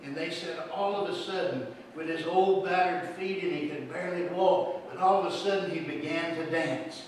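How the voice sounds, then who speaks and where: A middle-aged man preaching a sermon in a church sanctuary, telling a story and swinging between hushed and loud delivery. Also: on the high side, normal speed, slight background noise. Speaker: pastor. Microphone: room microphone, far from camera. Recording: roomy echo, distant mic.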